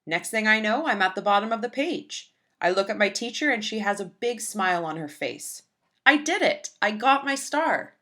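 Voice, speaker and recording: Woman as an earnest shy schoolgirl, animated intonation. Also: medium pitch, normal speed. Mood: nervous, then proud. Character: earnest, shy young schoolgirl telling her own story.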